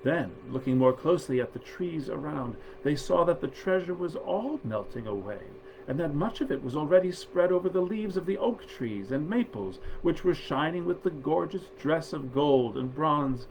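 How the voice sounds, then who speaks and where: A man reading nineteenth-century fairy tales aloud in a measured, expressive storyteller's manner. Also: a little high, creaky, slight background noise, rough recording. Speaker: actor reading stories aloud.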